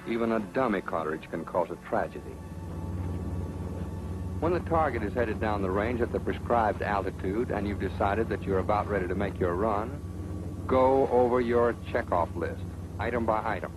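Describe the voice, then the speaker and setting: A man's voice: fairly low pitch, normal speed, over music, low-quality recording. A man narrating a military training film with a stern, scolding, instructive manner. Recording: old film soundtrack with hiss.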